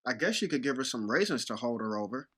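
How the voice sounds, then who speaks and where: A woman's voice: rumbling low, big pitch swings, quick pace, a bit bright, husky, full character voice. A woman playing an exasperated, stern human father in an audio drama, scolding and emphatic. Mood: resigned.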